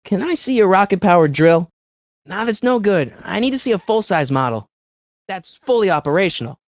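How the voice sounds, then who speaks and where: A man's voice: sits high, very animated, dry recording, studio quality. A young man with an American accent voicing an earnest, anxious teenage boy in a video game, eager to persuade and often hesitant. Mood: eager, persuading. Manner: hesitant, stammering, eager, fast-talking persuader. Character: earnest, anxious teenage boy hero of a time-travel adventure. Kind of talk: video game character dialogue.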